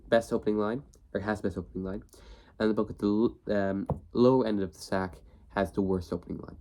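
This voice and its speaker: A man's voice: lowish voice, darkish tone, a little hiss or hum. A young man with a cold, sniffly, hosting a casual book video, rambling with many fillers and restarts. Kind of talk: casual talk to camera.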